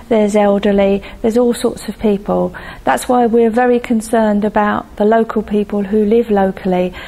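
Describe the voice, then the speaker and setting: A woman from a church congregation speaks hesitantly, with frequent ums as she gathers her thoughts. Her voice has a mellow, warm tone. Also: mid-range voice, low-quality recording. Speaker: church member. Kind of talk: prepared statement for a video letter.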